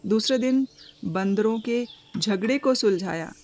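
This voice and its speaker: A woman narrating a scripted moral story for a cartoon, with dramatic, deliberate phrasing and pauses. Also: mid-range voice, darkish tone.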